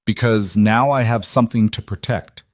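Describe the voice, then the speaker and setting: A man narrating plays a brooding, controlled mafia boss in a romance audiobook. He speaks low, in grave, measured declarations, on a dry recording. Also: no echo, lightly acted. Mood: intense, possessive. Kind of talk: dramatised audiobook dialogue.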